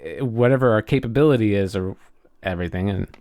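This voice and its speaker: A man chatting casually and reflectively as a podcast co-host, often halting as he searches for words. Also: on the low side, dark tone, no echo, clean studio sound.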